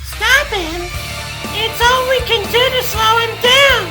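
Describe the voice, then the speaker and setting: Very high-voiced plucky superheroine. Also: female voice, slow, music in the background, low-quality recording. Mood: excited, earnest. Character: earnest, plucky young female cartoon superheroine. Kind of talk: comic book dub cartoon acting.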